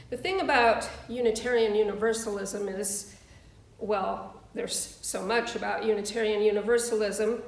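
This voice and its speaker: A woman minister reading and preaching at a church service in a measured, deliberate way. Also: fairly high pitch. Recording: roomy, echoing voice.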